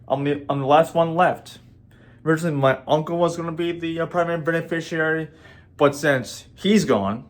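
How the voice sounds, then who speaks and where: A man in his twenties talking casually to his viewers in a halting way, with many restarts and repeated words. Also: a little high. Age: 20s. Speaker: vlogger. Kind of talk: casual vlog talk to viewers.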